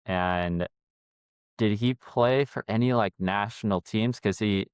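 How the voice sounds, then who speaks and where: A man's voice: on the low side, slow pace, clear, resonant tone, no echo. A man hosting a podcast, asking casual questions that ramble and restart. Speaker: podcast host.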